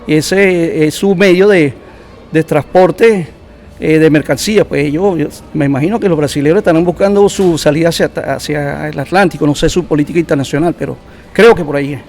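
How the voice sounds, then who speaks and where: A man from the Essequibo answers questions as a guest, with a voice a little on the high side. He talks informally and hesitantly, feeling his way through each answer. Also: brisk, a little mellow, a little hiss or hum. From Rupununi region of the Essequibo, Guyana. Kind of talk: interview answers.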